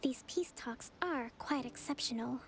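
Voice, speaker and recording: A woman voicing a poised, gentle young priestess and strategist in a video game, speaking in a measured, polite way. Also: sits high. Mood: earnest, resolute. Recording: game music behind the voice.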